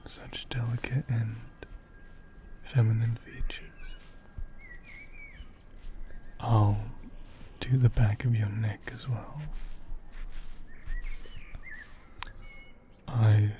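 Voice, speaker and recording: A man playing a gentle, attentive doll repairer in an ASMR roleplay, speaking softly and often in a half-whisper, unhurried and soothing. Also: on the low side, steady tone, background noise. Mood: calm, curious. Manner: unhurried, hushed, murmuring with thoughtful hums.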